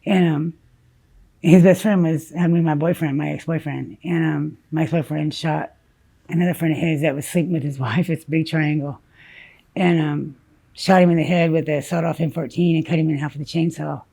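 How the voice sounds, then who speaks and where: A woman from South Dakota, whose first language was Spanish, telling her story haltingly in an interview, full of fillers and restarts. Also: low voice, creaky, dark tone, slightly rough. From South Dakota, USA. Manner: halting, many fillers and restarts, blunt about grim details.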